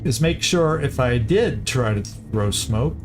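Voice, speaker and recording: A man's voice: mid-range voice, lively intonation, takes it slowly. Man musing through game moves. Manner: thinking aloud, hesitant restarts while planning moves. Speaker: gameplay commentator. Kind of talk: casual let's-play commentary. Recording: game music playing behind.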